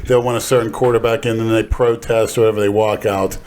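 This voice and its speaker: A man's voice: lowish voice, level tone, quick pace, a little mellow, a little hiss or hum. A man co-hosting a podcast, opinionated and blunt with his advice. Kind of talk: casual podcast chat.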